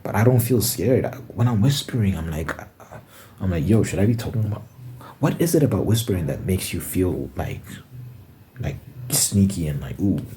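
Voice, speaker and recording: A man's voice: sits low, very animated, normal speed, mellow, slight background noise. A man from Zimbabwe talking casually to camera in his room, rambling and correcting himself as he thinks aloud. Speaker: ASMR channel host. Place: bedroom with window.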